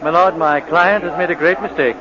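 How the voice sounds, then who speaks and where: A man playing a deferential courtroom barrister in a 1940s radio play. His address is formal, heard over a clear crowd murmur on a muffled old broadcast. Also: fairly high pitch, brisk, natural voice. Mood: apologetic, solemn. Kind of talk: 1940s radio drama acting.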